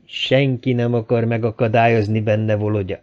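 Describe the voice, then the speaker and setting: A man's voice: on the low side, little pitch movement, muffled recording, close to an everyday voice. A man voicing a stern, commanding bearded gang leader in an audiobook, giving orders and firm arguments. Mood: firm, reasoning. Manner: barking orders, reasoning firmly.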